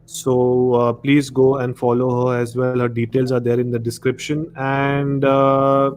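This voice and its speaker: A man with an Indian accent hosts a casual live discussion show. His voice sits at an ordinary middle pitch. The recording carries audible noise. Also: little pitch movement, a little slow, a little mellow. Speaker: podcast host. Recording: clearly noisy live-stream audio.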